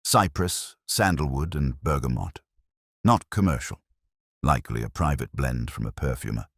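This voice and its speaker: A man voicing a shrewd, controlled Victorian detective in a storybook reading, speaking in a dry, measured way. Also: a bass voice, no echo, pitched below the performer's own voice. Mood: quietly intent.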